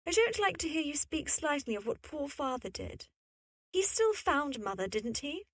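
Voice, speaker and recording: A young sister in a period audio drama, acted by a woman. Her voice is high and moves up and down freely, affectionate and gently teasing. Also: very animated, normal speed. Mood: teasing, concerned. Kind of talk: period radio drama acting.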